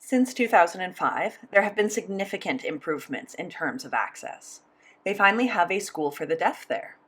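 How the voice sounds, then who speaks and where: A woman's voice: lowish voice, animated, moderate pace, a bit bright, breathy edge. Woman voicing a signed interview. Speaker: sign language voice interpreter.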